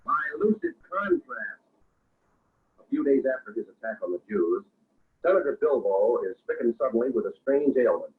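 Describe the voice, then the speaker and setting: A man's voice: mid-range voice, close to an everyday voice. A man performs a grave radio-drama narrator from the 1940s, delivering an accusing exposé with solemn, theatrical irony. The recording is tinny and narrow, like old radio.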